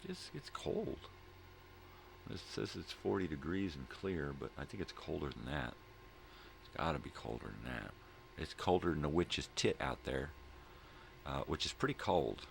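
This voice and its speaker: Super low croaky guy, stoner drawl, rambling chat, bit of noise behind him. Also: sing-song, unhurried, pauses often. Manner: laid-back stoner drawl, repeats himself. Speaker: host of a daily morning video log. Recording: noticeable background noise.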